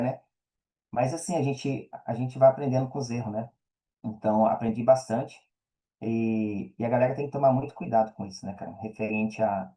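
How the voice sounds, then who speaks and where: Mellow Brazilian man as a podcast guest. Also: mid-range voice. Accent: Brazilian Portuguese. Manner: slangy, peppers talk with check-in tags. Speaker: podcast guest, affiliate marketer. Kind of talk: casual interview chat.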